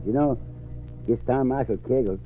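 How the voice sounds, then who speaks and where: A man's voice: medium pitch, moderate pace, loud music behind the voice, close to an everyday voice. A man playing a jolly, devoted Mexican sidekick in an old radio western, speaking simple broken English with a Mexican accent. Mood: jolly, admiring. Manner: simple broken English, refers to himself by name. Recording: muffled, narrow-band old radio recording.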